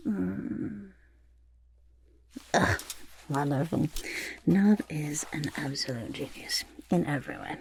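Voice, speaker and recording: A woman voicing a vain, excitable goblin artist in an ASMR roleplay, often whispering, with a gravelly creak and pompous drawn-out words. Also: sits low, a little hiss or hum, full character voice. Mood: boastful. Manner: third-person self-praise, pompous drawn-out words.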